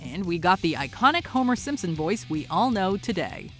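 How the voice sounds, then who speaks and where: A woman's voice: lowish voice, big pitch swings, normal speed, fairly bright tone. A woman hosting and narrating a scripted countdown video. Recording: music behind the voice. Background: background music.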